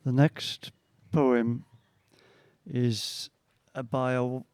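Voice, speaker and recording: A middle-aged or older man speaking haltingly and informally as he introduces readers at a poetry event. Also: mid-range voice, level tone, darkish tone, smooth, no echo. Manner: hesitant, halting. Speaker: event host introducing poets. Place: outdoors at a gallery event.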